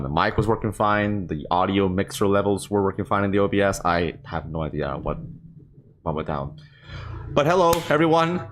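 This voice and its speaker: A man's voice: on the low side, fairly bright tone. A man hosting a casual, improvised livestream, talking in an informal, rambling and slightly scattered way. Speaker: live streamer and host.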